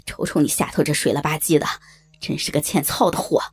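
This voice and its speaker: A coarse, pushy northeastern man of middle years, voiced by a woman narrator. His voice sits deep and husky, and he talks bluntly, bossing people around. It reads like a homespun audio story. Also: fairly even intonation, a little fast, no room sound. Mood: rough. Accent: Northeastern Chinese. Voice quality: gruff, low put-on voice. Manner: blunt, domineering.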